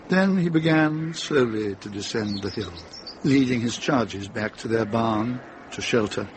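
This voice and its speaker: A man giving scripted literary narration for a radio drama in a measured, atmospheric manner. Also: mid-range voice, noisy background.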